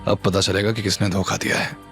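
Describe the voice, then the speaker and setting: A man playing a cold, controlling businessman in an audio drama, measured and mocking, slipping English phrases into his speech. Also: fairly low pitch, level tone, fairly bright tone, voice pushed down. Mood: cool, focused. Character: cold, sarcastic, controlling businessman with dangerous calm. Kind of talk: dramatised audio series reading. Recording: music bed under the voice.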